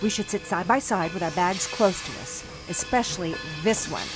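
An actress playing a tough, bossy bank teller turned thief, wry and slangy in a period radio drama. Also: female voice, lowish voice, animated, normal speed, over music, close to an everyday voice. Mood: firm.

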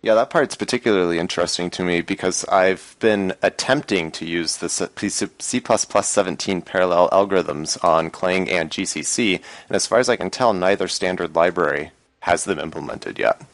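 A man's voice: low voice, normal speed, no echo. A man co-hosting a tech podcast, asking curious questions in a chatty way. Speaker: podcast co-host. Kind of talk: casual chat.

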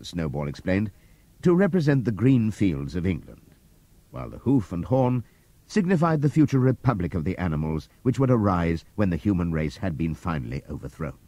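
A man reading an audiobook aloud in a measured, expressive storytelling manner. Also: low voice, no echo. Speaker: audiobook narrator. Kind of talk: scripted narration.

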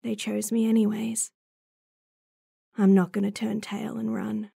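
Casting: actress for idealistic young she-wolf, audio roleplay, earnest and stubborn, creaky, dark, slow and close-miked dry. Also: medium pitch, level tone, dry recording, heavily acted. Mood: earnest, resolute. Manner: earnest, defiant, restrained. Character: idealistic, stubborn young female werewolf in love. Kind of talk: audio roleplay drama acting.

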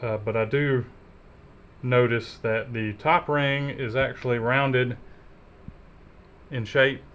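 Man, 30s, casual workshop talk, easygoing, garage, mid-pitched voice. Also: fairly even intonation, slow pace, fairly bright tone, muffled recording, slight background noise. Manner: easygoing, talks while working with his hands. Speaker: mechanic host.

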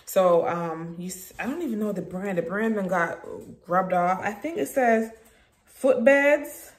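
A woman's voice: medium pitch, measured pace. A woman, chatting informally to viewers in a home vlog and often repeating her phrases. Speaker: lifestyle vlogger. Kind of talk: casual vlog chat to viewers. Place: rooms at home, indoors. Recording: roomy echo, background noise.

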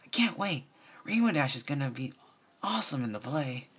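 A man voicing an eager, excitable little girl pony for a story reading. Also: medium pitch, normal speed, husky, pitched above the performer's own voice, heavily acted. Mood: excited.

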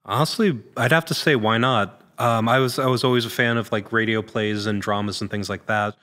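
A man's voice: lowish voice, steady tone, fairly quick, sharp tone. Man chatting casually on a podcast. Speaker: actor being interviewed. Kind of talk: casual conversational podcast interview. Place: large indoor room with hard floor and open ceiling. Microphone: podcast microphone on a boom arm.

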